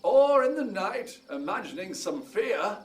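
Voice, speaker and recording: A man playing a sceptical, lofty duke, delivering measured oratory in a theatrical Shakespearean audio drama. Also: high voice, very animated. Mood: sceptical musing. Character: Theseus, sceptical, lofty male duke reflecting philosophically. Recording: slightly distant, faint music behind.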